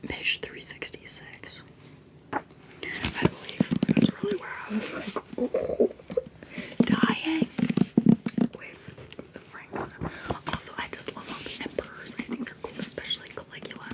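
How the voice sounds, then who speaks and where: A woman's voice: very high voice, big pitch swings, a little slow, pauses often. A young woman whispering as she rambles and giggles about favorite books in a casual video. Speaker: video creator. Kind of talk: whispered book-talk ramble.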